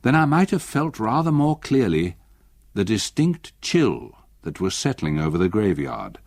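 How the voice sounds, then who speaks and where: An older actor playing an elderly retired solicitor, gravely narrating a haunting memory in a husky voice for radio drama. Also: male voice, lowish voice, sing-song, unhurried, a little mellow, dry recording, clean studio sound, full character voice. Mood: hushed, uneasy.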